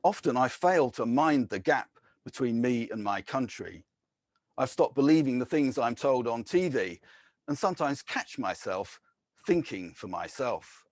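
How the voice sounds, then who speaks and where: A man, a poet, reading his own poems in a measured, deliberate way, with short casual introductions between them. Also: mid-range voice, animated, no room sound, clean studio sound. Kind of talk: poetry reading with spoken introductions.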